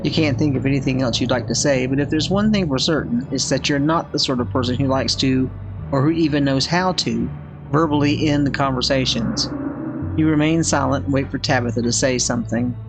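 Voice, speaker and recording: An older woman narrates a visual novel for a gaming video. She reads the story text aloud in a cozy storytelling style, with music clearly playing behind her. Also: rumbling low, fairly quick, low-quality recording. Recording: background music under the voice. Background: game music.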